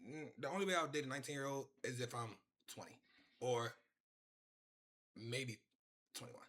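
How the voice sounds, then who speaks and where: A man's voice: medium pitch, moderate pace, a bit bright. A man in his twenties chatting casually on a live stream, rambling and repeating himself as he talks to his chat from his room. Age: 20s.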